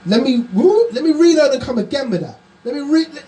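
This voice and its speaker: Excitable male radio host, lively pitch. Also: brisk. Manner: excitable, exclamatory, repeats phrases. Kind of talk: live radio talk show.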